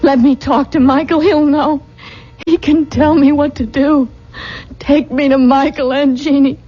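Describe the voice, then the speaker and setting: An actress playing a distraught, obsessive young widow in an old-time radio drama, intense and pleading. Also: female voice, high-pitched, fairly even intonation, measured pace. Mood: desperate, pleading. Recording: dull, muffled old radio recording.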